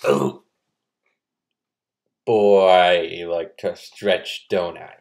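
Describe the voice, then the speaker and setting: A man's voice: sits low, fairly even intonation, drawn-out words, a little mellow. A young man talking casually to a camera in a skit, in his bedroom. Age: young adult. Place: small bedroom, indoors. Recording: noisy home recording with clicks.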